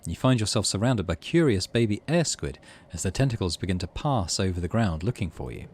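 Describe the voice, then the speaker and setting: Lively low-voiced man narrating a game. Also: husky. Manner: expressive storybook-like reading, muttering to himself between passages. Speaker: gaming channel host. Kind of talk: let's play, reading game text aloud with casual asides.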